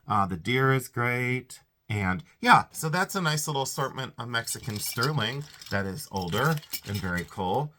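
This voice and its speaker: Congested chatty man with lively pitch. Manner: chatty, rambling, self-interrupting, frequent laughs. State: congested, stuffed up. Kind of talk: casual haul video.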